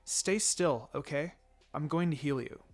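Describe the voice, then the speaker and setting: A man voicing a young female ninja in a story reading, firm and sardonic but secretly soft-hearted. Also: on the high side, unhurried, soft background music, natural voice. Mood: gentle concern.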